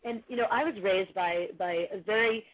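A woman with a husky, loud voice gives steady parenting advice, restarting her sentences as she goes. The sound is narrow and tinny, like a phone call. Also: medium pitch, moderate pace. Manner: earnest, advising, many restarts. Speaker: parenting coach guest. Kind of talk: casual podcast conversation.

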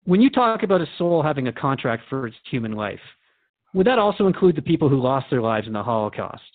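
A man acting as a radio host interviewer in an audio drama. He is earnest and respectful, feeling his way through questions with a conversational hesitancy. His mid-pitched voice sits in a dry, lo-fi recording with muted highs. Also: big pitch swings, fast, no room sound, natural voice. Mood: puzzled, probing.

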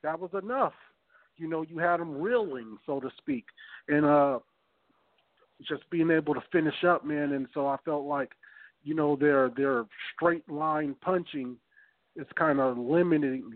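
Man, 30s, podcast co-host, casual rambling chat, slightly raised pitch, tinny phone-quality audio. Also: dry recording. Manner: loose, rambling, swears freely, restarts often. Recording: tinny phone-line sound.

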